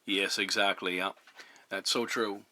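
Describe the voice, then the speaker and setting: A man chatting as a CB radio operator in a measured, courteous way. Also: low voice, flat delivery, sharp tone, a little hiss or hum. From Newfoundland, Canada. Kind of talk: casual radio conversation.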